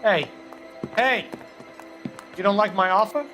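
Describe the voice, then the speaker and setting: An actor playing a smug, menacing 1940s gangster boss who talks in sardonic, wisecracking slang in a video game crime drama. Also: male voice, sits high, slow pace, bright, forceful tone, faint music behind, exaggerated voice, rough recording. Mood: angry. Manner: slangy, sardonic, wheedling tough-guy talk.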